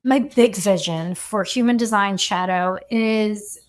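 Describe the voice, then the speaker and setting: A woman human design coach talking as a podcast guest, chatty and relaxed, and she often says "like.". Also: mid-range voice, slow pace. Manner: chatty, fills her speech with "like". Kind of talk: casual podcast conversation.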